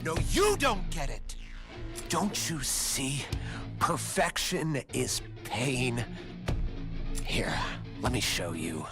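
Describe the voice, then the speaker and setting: An actor playing an arrogant, menacing rival rock musician boss in a video game, with theatrical, taunting delivery. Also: male voice, mid-range voice, sing-song, drawn-out words, creaky, long pauses, full character voice. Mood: intense, boastful. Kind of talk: video game villain voice acting. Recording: loud music over the voice.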